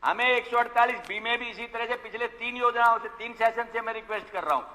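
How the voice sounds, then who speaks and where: A man's voice: very high voice, fast talker, sharp tone. A man making a formal, insistent complaint as a legislator addressing an assembly. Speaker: opposition legislator questioning a minister. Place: large legislative assembly hall. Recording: long hall reverb of assembly chamber.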